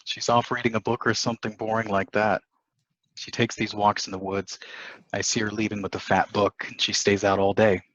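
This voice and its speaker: A man's voice: lowish voice, flat delivery, moderate pace, a bit bright. A man acting a smitten, flirtatious married man from the 1950s in a stage play table read, on a dull lo-fi recording. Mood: bored, frustrated. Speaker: actor at a table reading. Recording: dull lo-fi sound.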